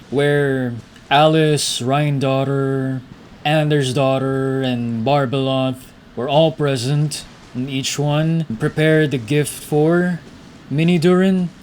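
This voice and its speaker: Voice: medium pitch, fairly even intonation, takes it slowly, a little mellow, slight background noise. A young adult gaming host, chatting casually in a slangy, laid-back way. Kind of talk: casual gameplay reaction chat.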